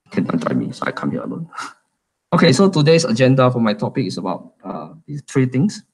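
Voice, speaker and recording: A young man from Malaysia giving a casual, halting talk at a tech meetup, with many fillers and restarts. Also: medium pitch, huge pitch swings, a little mellow, husky, low-quality recording. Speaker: conference speaker.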